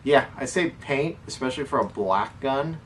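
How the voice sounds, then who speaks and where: A man's voice: mid-range voice, animated, moderate pace. A man co-hosting a casual hobby chat, explaining things in an informal way. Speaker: co-host of a hobby show. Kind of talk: casual question-and-answer chat about airsoft. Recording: distant mic with faint background noise.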